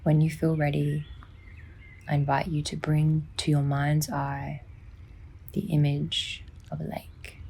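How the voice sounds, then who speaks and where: A woman softly and soothingly narrating a guided sleep meditation, unhurried, with long pauses and a breathy, near-whispering delivery. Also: low-pitched, completely flat delivery, a little hiss or hum. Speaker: psychologist guiding a sleep meditation.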